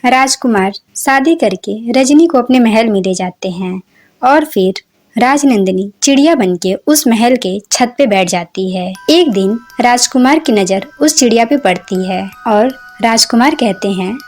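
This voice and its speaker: A woman narrating a bedtime fairy tale in a steady, even voice pitched slightly high. She delivers plain storytelling over quiet background music. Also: unhurried.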